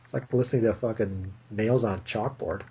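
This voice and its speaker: A man's voice: fairly low pitch, fairly quick, dark tone, husky, poor audio. A Canadian man chatting casually over a voice call, rambling, laughing often and swearing freely. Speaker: guest YouTuber. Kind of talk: casual Skype chat. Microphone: computer mic on a voice call.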